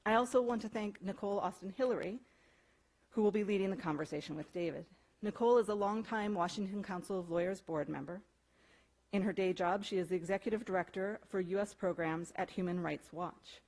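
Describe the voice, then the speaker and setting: A woman's voice: mid-range voice, a little mellow. Woman reading a formal introduction. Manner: reads prepared remarks, brisk and formal. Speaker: event organizer introducing speakers. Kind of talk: scripted introduction. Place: event room before an audience.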